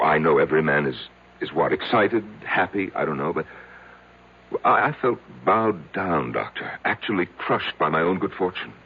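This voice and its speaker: An actor in a radio drama playing a lonely, self-loathing middle-aged man who confesses haltingly to his psychiatrist. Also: sits low, breathy edge, exaggerated voice. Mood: ashamed, halting. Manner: halting, hesitant, repeating himself. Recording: tinny, band-limited old radio broadcast.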